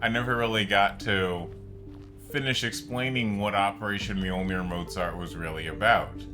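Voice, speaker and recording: A man's voice: lowish voice, steady tone, moderate pace. A man giving casual, rambling gameplay commentary, explaining things with frequent asides. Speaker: gaming video creator narrating gameplay. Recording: game music behind the voice. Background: video game music.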